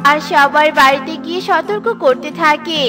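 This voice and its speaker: A woman narrating a children's cartoon story in an expressive tone. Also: high-pitched, moderate pace. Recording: music underneath.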